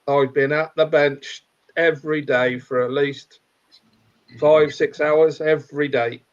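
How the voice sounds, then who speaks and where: A man's voice: a little high, steady tone, takes it slowly, highs cut off. A man in a video-call chat describing his model building, enthusiastic and rambling with lots of fillers. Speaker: scale model builder, club member.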